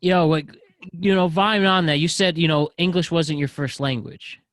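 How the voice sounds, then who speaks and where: A man's voice: on the high side, normal speed, dry recording. Man, podcast host, casual, curious questions, dry close sound. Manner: relaxed, short reactions and curious questions. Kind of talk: casual interview chat.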